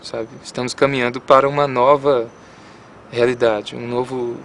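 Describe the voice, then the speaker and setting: Um homem de Goiás, sem sotaque marcado, falando com calma e se questionando numa entrevista. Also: male voice, mid-range voice, moderate pace. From Goiás, Brazil. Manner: calm, musing, asks himself questions. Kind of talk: reflective interview.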